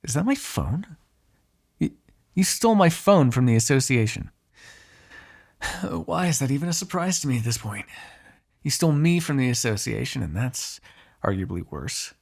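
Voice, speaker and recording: Man acting a gravelly, sardonic male vampire. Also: mid-range voice, sing-song, moderate pace, pauses often, a little mellow. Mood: exasperated, dry. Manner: sardonic, drawling, swearing, exasperated asides. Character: ageless male vampire, cynical, menacing, grudgingly fond captive. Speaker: voice actor in an audio roleplay. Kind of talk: ASMR roleplay, one-sided dramatic dialogue.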